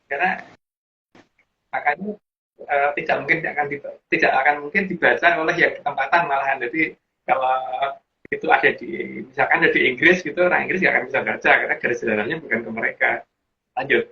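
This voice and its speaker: A man's voice: mid-range voice, quick pace. A man, explaining in casual chat and rambling through restarts and fillers. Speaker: guest explaining teachings. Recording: dull lo-fi audio with faint hiss.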